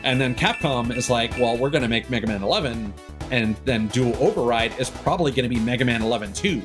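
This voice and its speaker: A man streaming games, chatting casually and giving opinions in halting phrases with emphatic bursts. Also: medium pitch. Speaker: game streamer. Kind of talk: casual opinionated rambling on a livestream. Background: music behind the voice.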